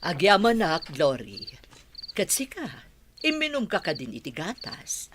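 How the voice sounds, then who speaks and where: A woman acting a worried, exasperated lady of the house in a radio drama, with an expressive manner. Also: fairly low pitch, a little slow. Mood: kindly. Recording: clearly noisy background.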